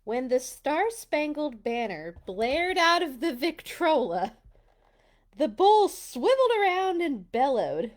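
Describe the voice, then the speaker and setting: A woman reading a children's storybook aloud, dramatic and expressive, with little personal asides. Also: high-pitched, slow. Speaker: storytime reader.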